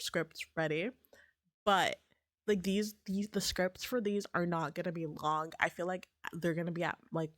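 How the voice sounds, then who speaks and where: A woman streamer in casual, rambling chat, her voice a bit raspy as if she is getting sick, with lots of fillers and restarts. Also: fairly low pitch, no room sound, clean studio sound. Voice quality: slightly raspy.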